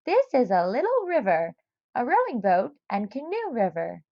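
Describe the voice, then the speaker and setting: A woman's voice: fairly high pitch, wildly swooping pitch, normal speed, no echo, lo-fi sound. A woman voicing a fussy, disapproving female animal neighbour in a storybook, scolding and emphatic. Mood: scolding warning.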